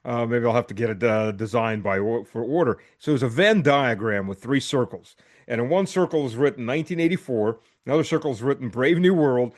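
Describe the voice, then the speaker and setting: A man in casual conversation who laughs easily and often stumbles over his words. His voice sits at an ordinary pitch. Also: brisk. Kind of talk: casual chat.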